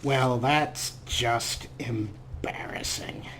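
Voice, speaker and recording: A man's voice: medium pitch, very slow, fairly bright tone, in a whisper. A man giving casual, self-deprecating gameplay commentary as a let's play host.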